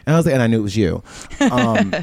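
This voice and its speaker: A man hosting a casual podcast conversation, chatty and animated, with playful asides. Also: medium pitch, quick pace, vocal fry, no room sound. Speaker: podcast host.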